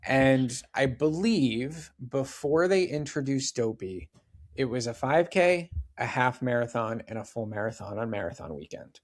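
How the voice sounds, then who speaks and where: A man's voice: mid-range voice, expressive, unhurried. Guy in his 40s, podcast guest, rambly chatty storyteller, lots of likes and rights. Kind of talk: casual interview chat.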